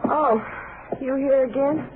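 A woman's voice: high voice, slow. An actress playing a guarded, curt woman rancher under suspicion in a 1950s radio drama. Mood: wary, cool. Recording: noisy, muffled 1950s radio recording.

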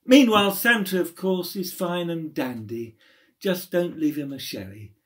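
A man's voice: on the high side, a little slow. A middle-aged man reading a rhyming story aloud in a theatrical storytelling style. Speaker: poem reader. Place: small room at home.

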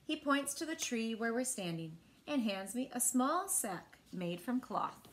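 A woman in her thirties with an American accent, reading a picture book aloud to children with expressive, clearly phrased delivery. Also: fairly high pitch, a little slow. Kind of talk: children's read-aloud storytelling. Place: small indoor room.

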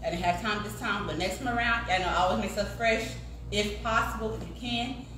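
A woman's voice: medium pitch, brisk, fairly bright tone. Echoey, emphatic American woman hosting casually. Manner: emphatic, punctuating with "okay" and "y'all". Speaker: cooking show host. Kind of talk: casual chat to viewers and guests. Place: home kitchen, indoors. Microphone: phone camera a few feet away. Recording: echoey, distant, clearly noisy kitchen recording.

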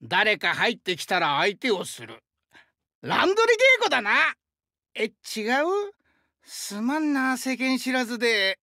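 A male actor voicing a burly, friendly young sumo-wrestler god in an anime game, with rough, hearty speech and a rural Shinshu Japanese dialect. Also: very high-pitched, very animated, slow, sharp tone, no echo, studio quality, full character voice. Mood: plainspoken. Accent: rural central Japanese dialect (Shinshu). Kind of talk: anime game character voice lines.

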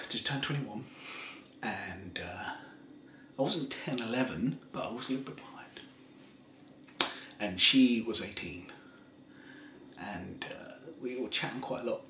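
A forty-year-old man tells a rambling story with self-mocking laughter, his voice fairly low yet bright and forward. The small kitchen gives it a roomy echo. A faint hum runs underneath. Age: 40s. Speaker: ASMR creator. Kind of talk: casual soft-spoken storytelling.

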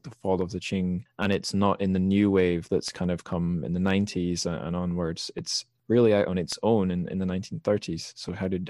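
A man's voice: low-pitched, mellow, dry recording, studio quality. A young man hosting a podcast, chatting casually in a rambling, self-questioning, self-deprecating way. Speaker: podcast host. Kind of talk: casual conversational interview.

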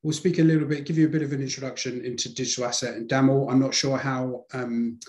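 A man's voice: medium pitch, level tone, quick pace, a little mellow, roomy sound. A man with a London accent giving a webinar presentation over a video call, hesitant with many fillers. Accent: London English. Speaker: sales presenter.

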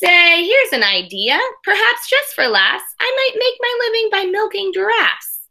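A woman voicing an eager, imaginative eight-year-old schoolboy from a storybook, in animated, sing-song rhyme. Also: extremely high pitch, measured pace, pitched above the performer's own voice, exaggerated voice. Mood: excited, dreamy.

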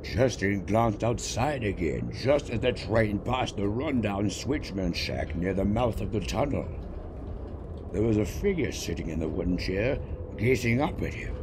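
A man reading a scripted story as its narrator. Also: on the low side. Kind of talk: scripted narration of fan fiction. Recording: clear background noise.

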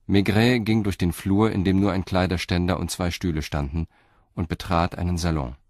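A man's voice: sits low, steady tone, moderate pace, a little mellow, no echo, studio quality. A man reading scripted narration for an audio drama.

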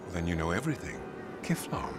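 A man's voice: sits low, big pitch swings, quick pace. An actor playing a self-important, serene cult leader in a video game cutscene, a man with an unctuous, portentous manner. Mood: serene, grandiose. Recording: loud music behind the voice.

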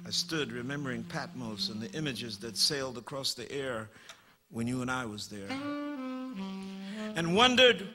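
A man performing dramatic spoken-word poetry, emphatic and incantatory, with drawn-out words and repeated phrases. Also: medium pitch, lively intonation. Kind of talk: dramatic political poetry performance over saxophone. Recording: long hall reverb, saxophone playing along. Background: live saxophone music.

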